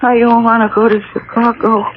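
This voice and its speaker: A woman's voice: a little high, flat delivery, a little fast, close to an everyday voice. An actress playing a childlike woman lost in girlhood, talking like a plaintive little girl in a radio drama. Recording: tinny old radio drama recording.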